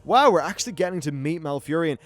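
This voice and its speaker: A man with a fairly high-pitched voice provides running commentary on a fantasy game. He speaks informally, with the odd mild swear as he reacts to what unfolds. The sound is very dry, with no room on the voice. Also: animated, fast talker, no echo. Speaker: gaming YouTuber. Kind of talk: casual let's-play commentary on an online fantasy game.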